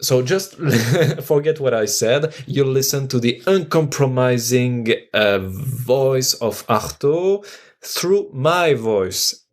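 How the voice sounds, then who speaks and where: A man's voice: medium pitch, lively intonation, slow. A man with a French accent giving an informal introduction, hesitant and searching for his English words.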